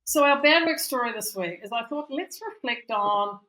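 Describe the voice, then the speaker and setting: A woman's voice: a little high, lively intonation, moderate pace, bright, forceful tone, poor audio. Chatty woman coach, reflective and rambling. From Europe. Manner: reflective, rambling, heavy use of "you know" and "like". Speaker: podcast co-host and coach. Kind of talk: casual conversational chat.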